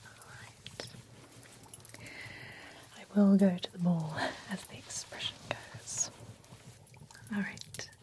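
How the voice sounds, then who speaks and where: A woman whispering as a chatty female vampire who grooms a werewolf at a spa, soft and reassuring. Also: lowish voice, fairly even intonation, slow pace, pauses often. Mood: whispery, reassuring. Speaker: ASMR roleplay performer. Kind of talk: whispered ASMR roleplay.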